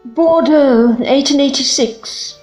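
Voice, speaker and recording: A woman playing a brisk Edwardian lady medium in a period audio drama, speaking in clipped phrases. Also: sits high, a little mellow, roomy sound, faint music behind, low-quality recording. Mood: urgent, directing.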